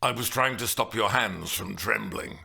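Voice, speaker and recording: Man playing an earnest, reasonable man, bright voice. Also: lowish voice, moderate pace, creaky, breathy edge, exaggerated voice. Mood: apologetic. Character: earnest, apologetic, reasonable man, mildly wry. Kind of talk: dramatised audiobook dialogue.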